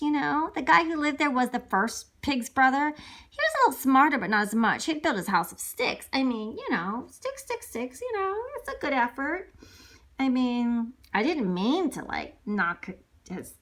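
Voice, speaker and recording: A woman's voice: high voice, big pitch swings, moderate pace, a bit bright. A woman voicing a chatty, self-excusing male big bad wolf who tells a children's storybook in character, full of rambling asides and sly mock innocence. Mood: animated, self-excusing. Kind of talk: animated read-aloud children's storybook, told in character.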